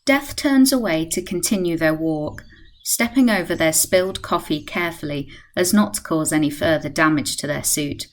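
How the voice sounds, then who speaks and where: A woman's voice: low voice, moderate pace, lightly acted. A woman playing the composed narrator of a radio play, reading scripted present-tense storytelling. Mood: measured.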